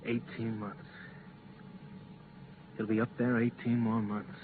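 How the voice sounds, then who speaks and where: An actor playing a guilt-ridden, reluctant astronaut in a 1950s radio drama, with a subdued, terse delivery. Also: male voice, fairly low pitch, little pitch movement, slow pace. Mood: stunned, subdued. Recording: muffled, noisy 1950s radio transcription.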